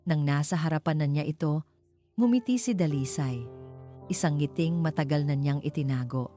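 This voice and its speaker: A woman narrates a scripted story. Her voice is low and soft-edged, with a mellow tone, and music plays underneath. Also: moderate pace. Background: background music.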